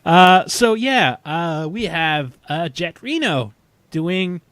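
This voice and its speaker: Male podcast host, 30s. Slightly high-pitched, dry close sound, rambling casual recap delivery with halting phrasing. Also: animated, fairly bright tone, no echo. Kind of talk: casual fan discussion, episode recap.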